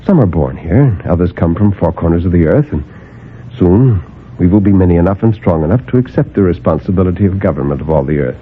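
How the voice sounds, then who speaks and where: An actor playing a calm, superior superhuman guardian, speaking with measured, lofty condescension in a 1950s radio drama. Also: male voice, deep voice, slight background noise. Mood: calm, condescending. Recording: narrowband old AM radio recording.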